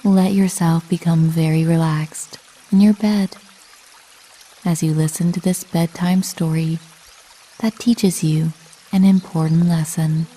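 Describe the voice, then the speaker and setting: A woman narrating a scripted sleep meditation like a bedtime story, calm and soothing, with measured delivery and long pauses. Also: deep voice, slightly rough.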